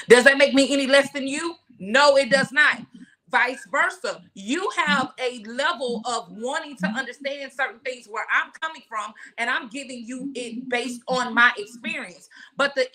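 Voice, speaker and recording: High-voiced woman host, loud and emphatic, keeps asking rhetorical questions. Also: low-quality recording. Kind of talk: casual panel discussion.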